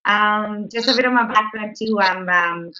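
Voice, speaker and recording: A woman's voice: mid-range voice, moderate pace, pushed and bright. A woman from Canada casually introducing herself on a webinar, chatty with many fillers and restarts. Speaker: co-founder presenting on a webinar. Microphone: video call. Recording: lo-fi online call audio.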